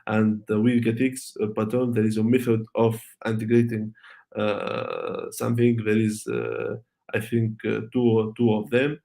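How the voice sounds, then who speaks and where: Halting, gravelly-voiced man giving a tech talk. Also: on the low side, warm tone. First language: Arabic. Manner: halting, many fillers and restarts. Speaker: conference speaker.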